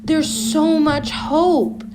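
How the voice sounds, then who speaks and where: A woman playing a serene young cult convert and lost daughter in an audio drama, measured and insistent. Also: sits high, steady tone, noisy background. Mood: blissful, persuasive. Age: young adult.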